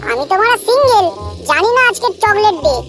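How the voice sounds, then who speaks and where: Man voicing squeaky, cheeky young cartoon village lad. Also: very animated, a little fast. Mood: bantering. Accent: West Bengal. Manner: bantering, foul-mouthed. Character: cheeky, boozy young village men, slangy schemers. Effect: pitch-raised cartoon voice. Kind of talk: crude comedy cartoon dialogue. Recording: loud music over the voice.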